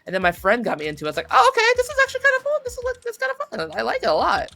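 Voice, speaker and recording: A woman's voice: high voice, huge pitch swings, rapid-fire, gravelly, sharp tone. A woman in her thirties chatting casually in a playful, easily distracted way while playing a game. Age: 30s. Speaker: voice actor being interviewed. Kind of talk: casual interview chat while gaming.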